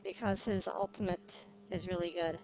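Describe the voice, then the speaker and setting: A woman streamer giving casual live game commentary, thinking aloud with frequent pauses. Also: lowish voice, fairly even intonation, a little mellow, a little hiss or hum. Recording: music behind the voice.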